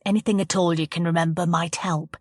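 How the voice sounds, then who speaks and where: A calm female detective asks her questions in a gentle, soothing voice, lower than most women's, as a narrator reads her lines. The recording is close and dry. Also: brisk, slightly rough, dry recording.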